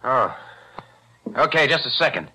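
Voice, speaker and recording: An actor playing a tough young ex-convict, curt and slangy, in 1940s radio-drama style. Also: male voice, mid-range voice, very animated, unhurried, pushed and bright, a little hiss or hum, full character voice, poor audio. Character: cocky young male ex-convict, hard-edged.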